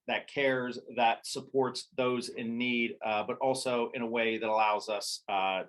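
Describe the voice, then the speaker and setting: A man's voice: medium pitch, fairly bright tone, low-quality recording. A man leading a company video meeting, talking with frequent "uh"s and restarts. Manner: filler-heavy, many "uh"s and restarts. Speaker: company leader hosting a training call. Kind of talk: live video call meeting. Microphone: video call.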